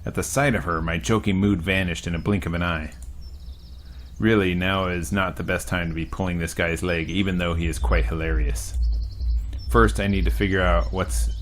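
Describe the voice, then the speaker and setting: A man's voice: deep voice, fairly even intonation, slight background noise. A young man casually reading a visual novel aloud as a let's-play host, with joking asides. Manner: casual, joking, reads deliberately. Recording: music bed under voice.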